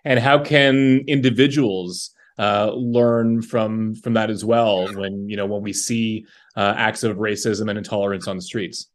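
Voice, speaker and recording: A man's voice: lowish voice, steady tone, measured pace. A man hosting an interview, putting questions to guests in a conversational way. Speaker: interviewer, host.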